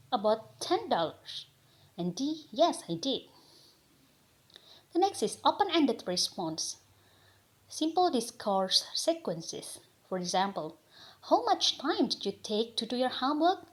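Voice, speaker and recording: Young Indonesian-accented woman, student presenter, higher voice, reads carefully phrase by phrase. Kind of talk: reading a slide presentation.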